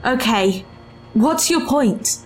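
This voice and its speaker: A woman's voice: fairly high pitch, expressive, slow, faint music behind. An actress in an audio drama playing an earnest, loyal woman from the distant past, formal, hesitant and thoughtful. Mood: curious, guarded. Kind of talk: full-cast audio drama acting.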